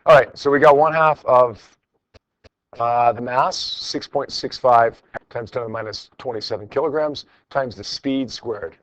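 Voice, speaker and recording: A man's voice: mid-range voice, a little slow, a little hiss or hum. Male teacher, slightly dull recording. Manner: informal, encouraging, repeats phrases for emphasis. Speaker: high school physics teacher. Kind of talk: classroom lecture, working through problems with students. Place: classroom with students.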